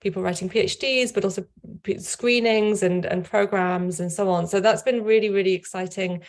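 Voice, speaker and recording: Flat-toned woman on a video call. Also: mid-range voice, normal speed. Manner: thoughtful, repeats words as she thinks. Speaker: author and scholar. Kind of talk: casual panel talk. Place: indoor home office.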